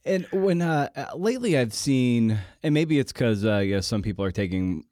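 A man hosting a podcast, asking questions in a casual, halting way as he thinks aloud. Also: mid-range voice, animated, dark tone, no room sound, clean studio sound. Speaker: podcast host, interviewer.

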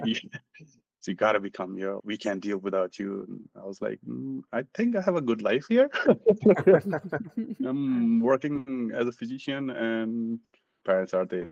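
A man's voice: on the low side, creaky, a little mellow, no room sound, highs cut off. A middle-aged man from Nepal with a Nepali accent, telling his personal story as an interview guest. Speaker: podcast guest, medical student.

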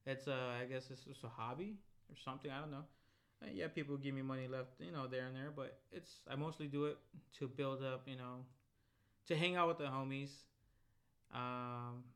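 A man's voice: medium pitch, a bit bright. A man, co-hosting a podcast in a laid-back, slangy, rambling chat. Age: 20s. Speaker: podcast co-host. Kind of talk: casual chat.

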